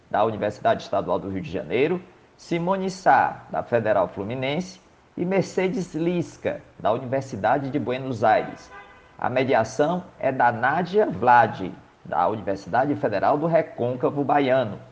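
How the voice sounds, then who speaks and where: A man's voice: medium pitch, darkish tone. A man with a Brazilian accent reading a scripted introduction as a podcast announcer. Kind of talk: scripted narration. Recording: dull, band-limited audio.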